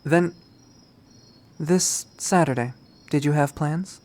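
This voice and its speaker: A man's voice: fairly high pitch, takes it slowly, darkish tone, voice pushed up. A man voicing a cool, guarded young woman in a visual novel, speaking in a clipped, formal, commanding way. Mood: guarded, businesslike.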